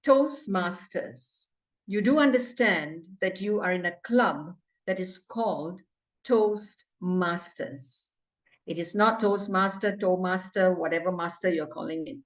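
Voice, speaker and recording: Stern slow-speaking Malaysian woman. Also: on the low side, distant mic. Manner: stern and emphatic, scolding. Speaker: language evaluator. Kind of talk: club meeting report on a video call.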